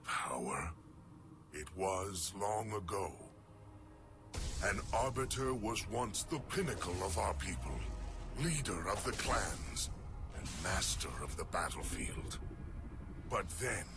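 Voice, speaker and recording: An actor playing a proud, grave alien elite warrior in a game, speaking with brooding drama and weighty pauses. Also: male voice, low voice, lively intonation, background noise, heavily acted. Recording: music clearly behind.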